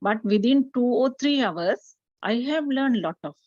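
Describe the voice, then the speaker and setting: A woman from Sri Lanka speaks over a video call, the sound muffled and dry with no room around it. She delivers a presentation, halting often on "uh" as she gathers her thoughts. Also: on the high side, lively intonation, a little mellow, dry recording. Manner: hesitant, many "uh" fillers. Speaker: webinar speaker. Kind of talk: presentation talk with many fillers. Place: home during power cut, online call.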